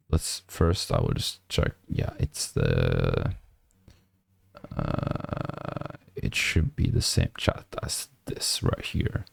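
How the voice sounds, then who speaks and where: Hoarse, gravelly-voiced young man. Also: a bass voice, no room sound. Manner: mumbling, halting with many fillers; speaks quietly to avoid disturbing neighbors. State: hoarse, nearly lost voice. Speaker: programming streamer. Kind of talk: casual coding stream commentary. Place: small apartment room at night.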